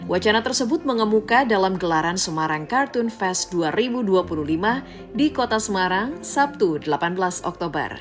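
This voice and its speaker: A woman delivers a scripted news report in voice-over. Her pitch sits in the middle range. Music plays clearly behind her. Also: expressive, fairly quick. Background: background music.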